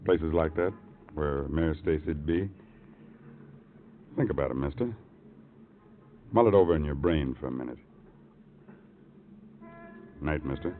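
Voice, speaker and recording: An actor playing a gruff, brooding rooming-house man in a 1950s radio drama, musing in terse fragments. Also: a bass voice, measured pace, long pauses. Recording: tinny, noisy old radio recording.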